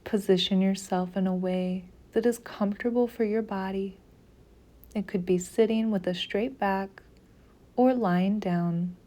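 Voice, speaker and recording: Slow, mellow woman guiding meditation. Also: mid-range voice, fairly even intonation. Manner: soothing, measured, with long pauses. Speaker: meditation guide. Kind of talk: slow guided meditation narration.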